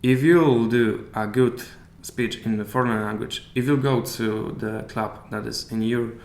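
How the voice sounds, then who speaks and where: A man with a Polish accent, a native Polish speaker, chatting as a podcast guest and often pausing to search for English words. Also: medium pitch, steady tone. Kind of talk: casual interview chat. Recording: echoey room with faint hiss.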